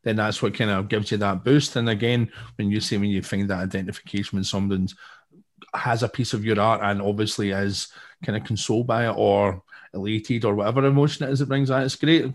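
A man's voice: on the low side, fairly even intonation, brisk. A Scottish man co-hosting an interview, joking and restarting his words as he talks. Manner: jokey, repeats words when starting. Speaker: interview co-host. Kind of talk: casual interview chat.

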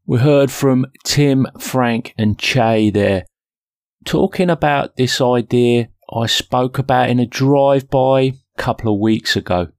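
A man's voice: medium pitch, unhurried, no echo, studio quality. A man speaking as a podcast host, introducing and talking through listener messages. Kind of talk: podcast narration.

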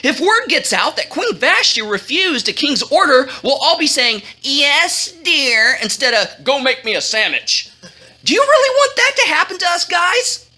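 Woman as a pushy, high-pitched royal courtier. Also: very animated, normal speed, bright, forceful tone, full character voice. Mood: urgent. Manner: emphatic, colloquial, egging on. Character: pushy, excitable male royal courtier, one of the guys.

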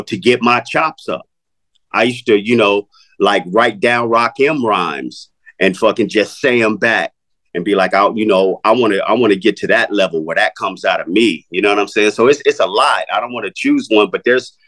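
Laid-back man with a low voice. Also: fairly bright tone. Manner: laid-back, swears freely, many fillers like "you know" and "man". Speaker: rapper, interview guest. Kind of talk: casual interview talk.

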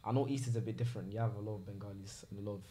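A young man with a South London accent, a podcast host asking questions and sharing his own experiences in quick, slangy chat. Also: lowish voice, steady tone, warm tone.

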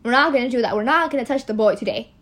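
A solemn angel from a storybook tale, voiced by a woman, calling from the heavens. The voice is high, firm and commanding, with measured declarations. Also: a bit bright.

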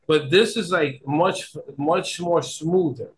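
A man chatting casually about retro video games as a podcast guest, excitable and emphatic, often repeating himself. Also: fairly high pitch, slow.

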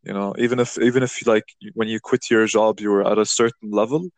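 Low-voiced man, rambling reflective talk. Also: steady tone, brisk, no room sound. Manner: rambling, many restarts, reflective. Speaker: podcast host. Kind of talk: casual conversation.

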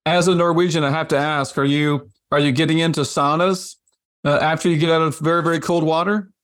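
A man hosting an interview podcast, easygoing and quick with short affirmations. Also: on the high side, fairly even intonation, a bit bright. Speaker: podcast host. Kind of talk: casual interview chat.

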